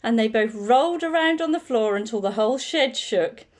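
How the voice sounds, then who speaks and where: Female storyteller for young children, slightly high voice, highly varied intonation, deliberate picture-book delivery. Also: animated.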